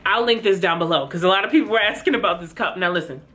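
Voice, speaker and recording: A woman hosting a casual commentary vlog, chatty and talking straight to her viewers, her voice slightly hoarse from being under the weather. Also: on the low side, rapid-fire, a bit bright. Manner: chatty, addresses viewers as girl. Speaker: YouTube commentary host.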